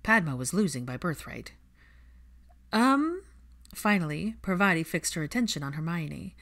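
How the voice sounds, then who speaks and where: A woman's voice: low-pitched, animated, brisk, fairly bright tone. A woman reading fiction aloud as an audiobook narrator, in scripted storytelling style.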